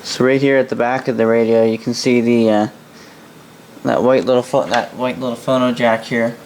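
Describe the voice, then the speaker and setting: A young adult man with a Canadian accent giving a casual, unscripted hobby demonstration in a halting, rambling way, often repeating words. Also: medium pitch, little pitch movement, a little mellow, rough recording. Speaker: vintage radio hobbyist and host. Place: indoor workbench in a room. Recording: home video with faint background noise.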